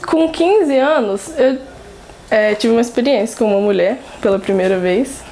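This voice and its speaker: A woman's voice: a little high, moderate pace, mellow, a little hiss or hum, poor audio. A woman in a documentary interview, speaking frankly and emphatically in a conversational way. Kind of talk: personal testimony interview.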